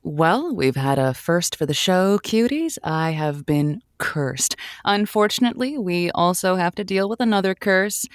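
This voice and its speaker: Actress as an animated, chatty woman radio host. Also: on the low side, unhurried, few pauses, no room sound, clean studio sound. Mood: awkward, polite. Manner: hesitant, folksy, playful. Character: chatty, earnest, skeptical female advice radio show host. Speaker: radio advice show host. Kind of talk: scripted audio drama radio show.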